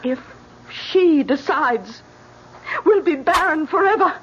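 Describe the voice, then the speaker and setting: An actress playing a bitter, frightened, superstitious young wife in a radio horror drama, intense and urgent. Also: female voice, high-pitched, animated, slow, fairly bright tone, heavily acted. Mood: ominous, insistent. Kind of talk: 1970s radio drama acting. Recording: dull, noisy old radio recording.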